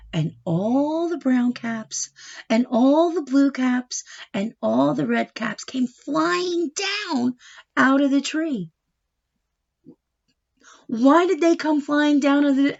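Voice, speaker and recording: A woman with a fairly high, creaky-edged voice reads a children's storybook aloud. She takes her time and prompts her young listeners with questions in an expressive way. The recording is a little dull and lo-fi. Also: slow. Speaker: storyteller reading a picture book aloud to children.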